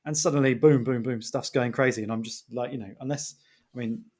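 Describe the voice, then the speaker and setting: Male podcast host, medium pitch, informal and rambling, colourful phrasing, conversational interviewing. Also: steady tone, fairly quick, darkish tone.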